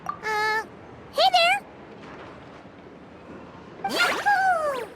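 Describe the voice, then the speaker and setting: A woman voicing a tiny, excitable, skittish flying girl companion in a video game, with a childlike way of exclaiming. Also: extremely high pitch, very slow, heavy background noise, full character voice. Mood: perky, curious. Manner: childlike, exclaiming, refers to self in third person. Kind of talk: video game character dialogue. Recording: loud game music behind the voice.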